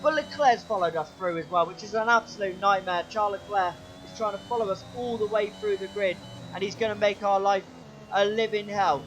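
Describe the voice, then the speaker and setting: A man commentating his own racing game run, excitable play-by-play with a sore, strained throat. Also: a little fast, soft background music, low-quality recording. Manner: excitable play-by-play, pitch jumps when overtaking. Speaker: gaming channel host.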